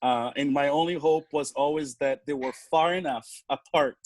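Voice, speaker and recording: A man from Brazil with a Brazilian accent, a native Portuguese speaker, telling a personal story on a panel in a halting way with pauses and repeated words. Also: fairly high pitch, steady tone, a loud crowd. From Salvador, Bahia, Brazil. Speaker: panel guest, drummer in community bands.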